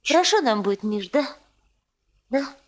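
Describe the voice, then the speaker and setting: An actress playing a warm, excitable young working woman in a Soviet radio drama, chatting in a colloquial, gushing way. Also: sits high, normal speed. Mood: tender, uneasy.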